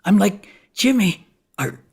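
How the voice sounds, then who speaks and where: An actor playing a folksy, excitable neighbor and amateur vampire hunter, telling a comic story conversationally and acting out the dialogue. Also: male voice, high-pitched, slow pace, gravelly, breathy edge, heavily acted. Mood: amazed, baffled. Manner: animated, conversational, acts out dialogue. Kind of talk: comic first-person storytelling monologue.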